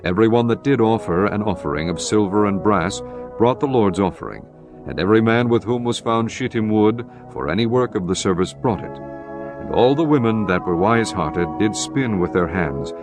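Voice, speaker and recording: Male narrator, low pitch, measured, formal, scripture reading, prominent background music. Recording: music bed behind the voice.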